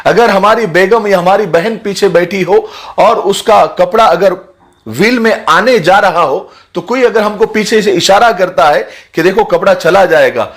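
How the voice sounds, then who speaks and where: High-pitched man, earnest explainer. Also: measured pace. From India. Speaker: social commentator speaking to his video audience. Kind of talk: earnest explanatory talk, sermon-like.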